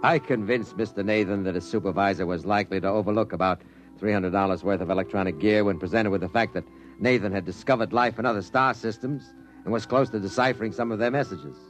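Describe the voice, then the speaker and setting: An actor playing a brisk, skeptical, inquisitive newspaper reporter in a 1950s radio drama, with a wry, probing delivery. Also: male voice, lowish voice, soft background music, natural voice. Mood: storytelling. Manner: wry, probing, punchy questions. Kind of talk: 1950s radio drama acting with first-person narration. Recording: vintage 1950s radio recording.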